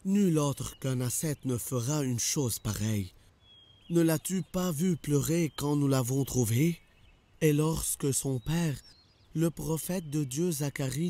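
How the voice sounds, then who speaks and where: A man voices a pious cartoon servant. His voice is fairly high and very mellow, with an earnest, deferential manner. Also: natural voice. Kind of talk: animated religious drama acting.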